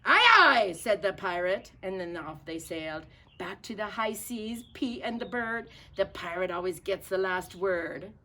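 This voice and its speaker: A woman storyteller reading a children's picture book aloud in an expressive, theatrical way. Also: on the low side, measured pace, slight background noise.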